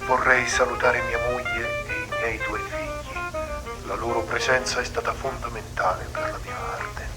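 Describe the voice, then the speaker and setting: A man's voice: mid-range voice, steady tone, measured pace, over loud music, natural voice, poor audio. A man playing a solemn, fanatically religious sculptor in a horror drama, speaking in a grandiose, brooding, dramatic way. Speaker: talk show guest. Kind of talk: horror drama monologue in interview form.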